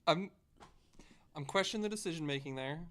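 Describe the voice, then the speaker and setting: A man's voice: fairly high pitch, brisk, a bit bright, a little hiss or hum. A man chatting as a podcast guest, making dry, wry jokes in casual banter.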